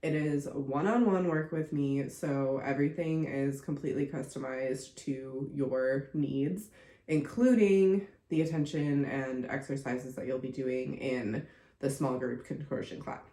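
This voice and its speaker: A woman's voice: a bass voice, a little slow, dark tone, roomy sound. A young woman hosting her own podcast, chatting casually with lots of fillers and sounding congested from a recent illness. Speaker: podcast host and contortion coach. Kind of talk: casual solo podcast monologue.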